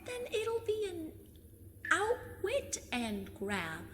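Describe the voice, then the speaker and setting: A woman's voice: very high-pitched, huge pitch swings, drawn-out words, fairly bright tone, a little hiss or hum, heavily acted. A woman playing a plucky, overconfident fifteen-year-old girl sleuth with a cheeky, mock-formal manner in an audio drama. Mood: cheeky, scheming. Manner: eager, cheeky, mock-formal, self-assured.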